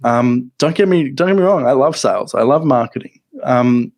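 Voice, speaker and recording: Soft-spoken man close on the mic. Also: mid-range voice. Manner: soft-spoken, halting with many restarts. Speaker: podcasting guest. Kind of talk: casual interview chat. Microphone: close microphone.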